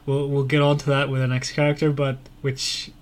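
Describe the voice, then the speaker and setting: Man, mid-pitched voice, podcast host, casual book discussion, informal hedging phrasing. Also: completely flat delivery, brisk.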